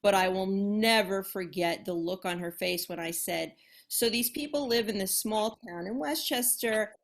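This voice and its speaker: A woman author on a video call with a loud voice, telling her story in an animated, self-deprecating way. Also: medium pitch, moderate pace. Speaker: panel guest author. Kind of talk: casual panel chat.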